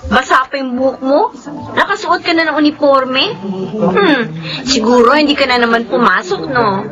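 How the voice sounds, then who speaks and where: A woman's voice: high voice, normal speed, muffled recording, over music, natural voice. An actress playing a playful young woman who chides and banters in a Filipino radio drama. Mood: chiding. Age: young adult. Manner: chiding, bantering. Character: playful, teasing young woman studying to be a lawyer.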